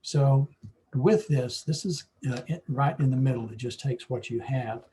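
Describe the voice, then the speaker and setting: A hoarse man teaching a photo-editing lesson, explaining at an easy pace with lots of fillers. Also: medium pitch, a little slow. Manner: relaxed, explanatory, with frequent fillers. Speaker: photography club instructor. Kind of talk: screen-share software tutorial.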